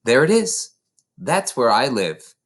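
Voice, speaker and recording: A man voicing a gentle, reserved woman schoolteacher with a slow, deliberate, poetic way of speaking. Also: mid-range voice, very animated. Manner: slow, deliberate verse-like delivery.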